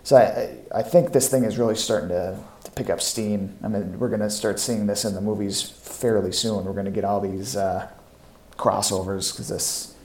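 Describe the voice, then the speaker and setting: Male co-host for an informal podcast, slightly deep voice, conversational delivery, roomy reverberant recording. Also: fairly even intonation, moderate pace, a little mellow. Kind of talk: casual chat about comics and toys. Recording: echoey, roomy podcast audio.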